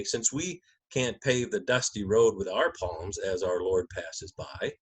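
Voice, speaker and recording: A man's voice: fairly low pitch, a bit bright, husky, low-quality recording. A man speaking as a pastor, delivering a church message and scripture narration. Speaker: clergyman and narrator of a gospel reading.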